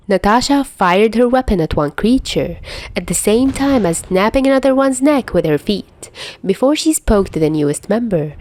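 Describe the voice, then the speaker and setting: A woman's voice: medium pitch, a little mellow, slight background noise. A woman reading scripted fan fiction narration as a storyteller. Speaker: podfic narrator.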